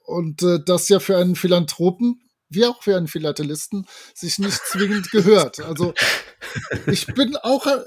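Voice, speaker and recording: A man's voice: high voice, animated, moderate pace, a little mellow, a little hiss or hum. A man co-hosting a podcast, discussing a story casually, hesitant and wordy with mock-formal turns of phrase. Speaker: podcast co-host. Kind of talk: casual discussion of an audio drama.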